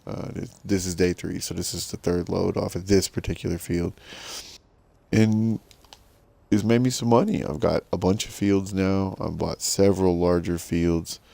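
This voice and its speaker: A middle-aged man with a rough smoker's voice, giving easygoing, rambling commentary to viewers while playing a farming game. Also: deep voice, fairly even intonation, moderate pace, warm tone, no echo. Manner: rambling, easygoing, self-deprecating. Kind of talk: casual gameplay commentary to viewers.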